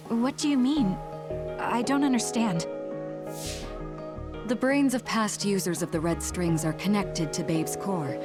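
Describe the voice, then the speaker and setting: An actress playing an earnest, composed woman in a sci-fi video game cutscene, speaking in a measured, clearly enunciated way. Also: medium pitch, natural voice. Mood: questioning.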